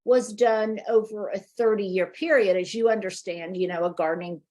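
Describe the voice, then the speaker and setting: A woman's voice: medium pitch, steady tone, normal speed, mellow. Candid middle-aged woman, thoughtful guest. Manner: thoughtful, candid, speeds up when explaining. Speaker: garden designer guest. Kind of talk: reflective interview conversation.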